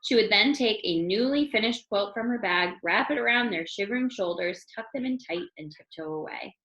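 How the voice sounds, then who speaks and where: A woman reads a children's story aloud with expressive narration. Her voice sits at an average female pitch. The recording is noticeably echoey, like an untreated room. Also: normal speed, highs cut off. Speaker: storybook reader.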